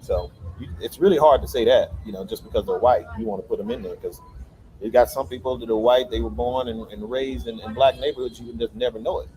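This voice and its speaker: A man co-hosting a casual livestream outdoors, relaxed, giving advice and answering viewer questions. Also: mid-range voice, quick pace, rough recording. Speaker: livestream co-host.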